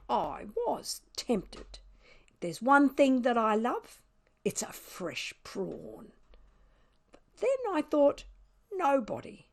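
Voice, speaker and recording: Sardonic, mischievous storybook cat, played by a woman. Higher-pitched, very animated, unhurried and wry. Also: heavily acted. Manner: dramatic, emphatic, wry delivery. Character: Tuffy, smug sardonic mischievous pet cat narrator. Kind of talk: children's storybook read aloud.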